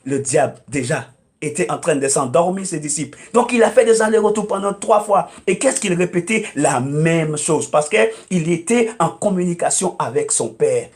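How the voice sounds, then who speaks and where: A man's voice: a little high, lively intonation, normal speed. A man whose first language is English, speaking as a guest preacher on a talk show, emphatic and repetitive, with frequent hesitant "euh"s. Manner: emphatic, repeats phrases, many hesitant "euh"s.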